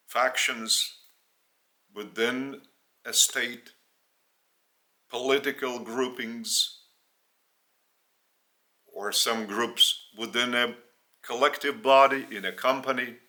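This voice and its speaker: A man from Lithuania with a Lithuanian accent, a native Lithuanian speaker, giving a spiritual teaching in English in short, halting phrases. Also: medium pitch, sharp tone. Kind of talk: spoken religious teaching in English.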